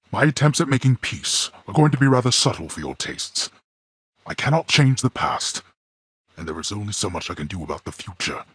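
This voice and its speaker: An actor playing a stern, proud, older god of the underworld in a video game, speaking formally in a husky voice with brusque, lecturing replies. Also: male voice, sits low, fairly bright tone, dry recording, studio quality, full character voice. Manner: stiff, lecturing, clipped and brusque. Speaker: game character.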